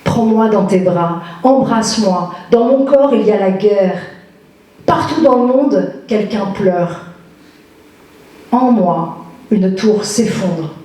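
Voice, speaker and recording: A woman presenter reads a poem and asks a question at a slow, deliberate pace. Her tone is dark and mellow. The mic is set far back, so her voice carries a heavy echo in the lecture hall. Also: medium pitch, lively intonation. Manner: slow, measured delivery. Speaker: event presenter. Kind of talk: poetry reading and interview question.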